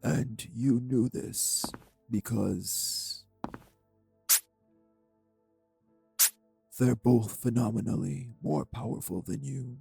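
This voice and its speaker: A man playing a cold, manipulative vampire villain in an audio roleplay, speaking with deliberate, condescending menace in a husky, gravelly voice. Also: medium pitch, mellow, soft background music, exaggerated voice. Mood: condescending, cruel. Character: cold, manipulative male vampire taunting his captive. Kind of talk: dramatic audio roleplay acting.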